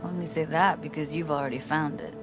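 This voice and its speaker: An actress playing a wistful, uneasy young woman in a video game, speaking softly and hesitantly. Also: low voice, fairly even intonation, fairly quick, over music, lightly acted. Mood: uneasy, reflective. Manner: hesitant, soft, reflective.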